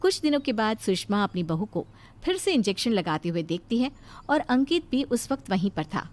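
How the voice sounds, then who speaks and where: A woman's voice: medium pitch, sing-song, normal speed, a little mellow. A woman narrator reading a scripted story.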